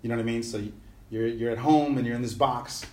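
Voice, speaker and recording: A man's voice: medium pitch, steady tone, quick pace, mellow, echoey room. A middle-aged man, a musician guest talking casually in an easygoing, rambling way with slang and fillers. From New York City. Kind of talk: casual conversational interview.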